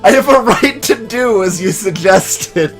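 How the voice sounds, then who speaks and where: A man's voice: high-pitched, animated, a little slow, soft background music. A man voicing an earnest, desperate young male defense lawyer in a video game, dramatic and determined.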